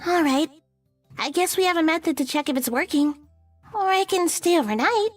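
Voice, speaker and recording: A woman's voice: extremely high pitch, animated, moderate pace, faint music behind. A woman voicing a bossy, prim, teasing young witch girl in a visual novel game. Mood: matter-of-fact.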